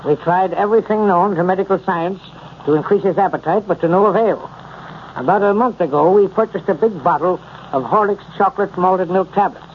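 A man plays an earnest, grateful father giving a 1930s radio testimonial. His voice is high for a man. It comes through narrow and phone-like, with some noise. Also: brisk, close to an everyday voice. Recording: thin phone-like 1930s radio recording, noisy.

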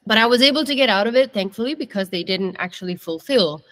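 A woman's voice: medium pitch, lively intonation, quick pace, a bit bright, in a large hall. A young woman from Italy, a singer-songwriter telling her story in a casual interview, chatty and candid.

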